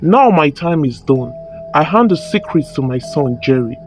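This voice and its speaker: A woman's voice: deep voice, animated, normal speed, soft background music, pitched below the performer's own voice. A woman voicing a troubled, scheming male pastor with a solemn, earnest manner in a folktale. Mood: grave confession. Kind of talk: dramatised folktale storytelling.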